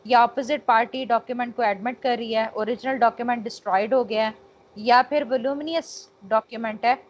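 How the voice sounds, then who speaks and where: A woman's voice: a little high, fairly even intonation, fairly quick. A woman with a Pakistani accent teaching law in an online lecture, explaining with English terms mixed in and checking that students follow. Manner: checks understanding, frequent tag phrases. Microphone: online call. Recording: dull, noisy online call audio.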